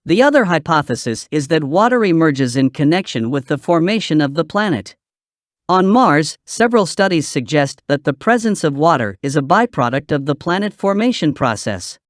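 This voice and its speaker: A man's voice: a little high, big pitch swings, moderate pace, no echo, clean studio sound. A man reading a scripted practice passage aloud. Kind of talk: scripted read-aloud narration for exam practice.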